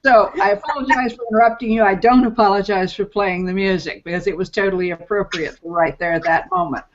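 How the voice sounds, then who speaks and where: A woman's voice: medium pitch, fairly even intonation, quick pace. A woman hosting an interview, chatting as a storyteller who pokes fun at herself. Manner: chatty, self-deprecating storyteller. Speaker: podcast host. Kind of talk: casual interview chat. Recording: dull lo-fi sound.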